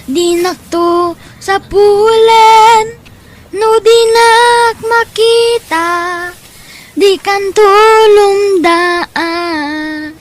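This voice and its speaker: A dutiful little boy from a poor family, speaks high and slowly with an Ilocano accent. The delivery is earnest and childlike, in the manner of a radio drama. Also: slight background noise, exaggerated voice. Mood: tender singing. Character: dutiful, poor young boy who sings and gathers trash. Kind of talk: Ilocano audio drama acting.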